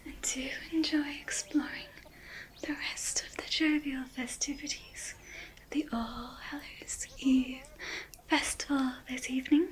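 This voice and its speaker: A woman playing a gentle, courteous female elf mage in an ASMR roleplay, whispering soothingly with slow, old-fashioned courtly phrasing. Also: sits high, fairly even intonation. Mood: courteous farewell. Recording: echoing whisper over music.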